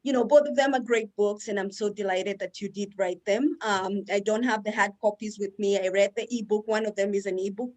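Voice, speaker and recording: Woman host, halting friendly questions. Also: mid-range voice, fairly even intonation, a little mellow. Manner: friendly, hesitant, many "um" fillers. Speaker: interview host. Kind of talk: casual interview talk.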